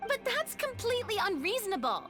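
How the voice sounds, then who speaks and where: A woman's voice: very high-pitched, very animated, fairly quick, in a large hall, over loud music. A woman voicing a poised, watchful young female adventurer in a video game, cautious and reasoning. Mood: suspicious.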